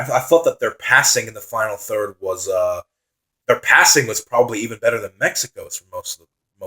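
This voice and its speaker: Excitable man with a dry, raspy voice. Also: on the low side, poor audio. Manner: enthusiastic, repeats himself for emphasis. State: dry, slightly raspy voice from long talking. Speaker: football vlogger. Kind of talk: casual solo reaction commentary.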